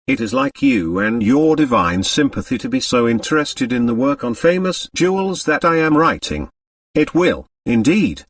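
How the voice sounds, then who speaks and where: Audiobook role: smooth male scoundrel writing love letters read by a male narrator, ardent and florid, with expressive pitch. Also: no room sound, studio quality, far from the mic. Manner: florid, romantic, reading as a letter. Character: ardent, flattering secret lover, a suave male scoundrel.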